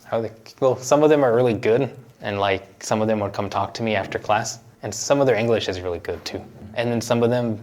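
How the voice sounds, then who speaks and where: A young man from the United States chatting casually in an interview, relaxed and often laughing as he talks. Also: fairly low pitch, a little fast. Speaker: interviewee, university student.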